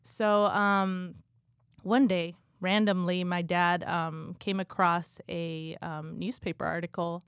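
A woman in her thirties, from Guatemala and raised in California, shares her story as a guest. Her voice sits a little low and she talks softly, hesitating often. The sound is dry and close. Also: level tone, slow pace, clear, resonant tone, no echo. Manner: soft, hesitant, many ums. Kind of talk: casual interview.

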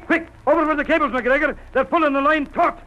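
An actor playing a brisk, hearty ship's captain in a 1940s radio adventure. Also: male voice, very high voice, fairly even intonation, pushed and bright. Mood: commanding. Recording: dull old radio serial recording.

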